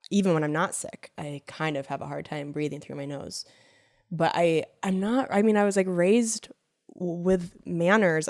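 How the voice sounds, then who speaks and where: A woman's voice: fairly low pitch, no room sound, clean studio sound. A woman co-hosting a podcast while sick, nasal and stuffed up, laughing and teasing in casual chat. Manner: giggly, teasing, quick reactions. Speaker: podcast co-host.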